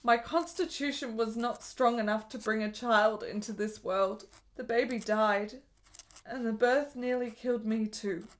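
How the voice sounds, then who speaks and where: Actress as a high-voiced, melancholy, lovesick frail woman. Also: steady tone, a little slow, natural voice. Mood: sorrowful, confessional. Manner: earnest, melodramatic, formal literary phrasing. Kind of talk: radio drama acting.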